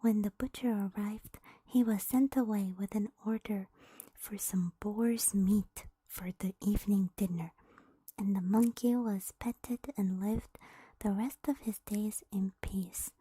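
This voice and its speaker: Giggly whispering woman, breathy and mellow. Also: mid-range voice, fairly even intonation, a little slow, dry recording, low-quality recording. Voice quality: soft unvoiced whisper. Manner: playful, giggly, teasing asides. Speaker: streamer reading chat. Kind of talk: whispered ASMR storytelling.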